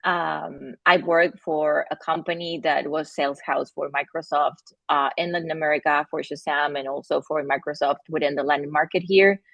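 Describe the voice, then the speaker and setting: A woman from Argentina, with an Argentinian accent and Spanish as her first language, talking in a casual interview, halting often with fillers and restarts. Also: low-pitched, fairly even intonation, vocal fry, fairly bright tone, dry recording. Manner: halting, with many fillers and restarts, laughs between phrases. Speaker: guest, company founder.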